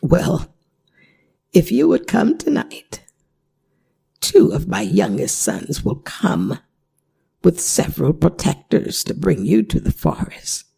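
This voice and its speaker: A performer voicing a worried, gentle older werewolf mother in an ASMR audio drama, speaking in halting, pleading phrases. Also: mid-range voice, huge pitch swings, creaky, husky, full character voice. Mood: grave warning.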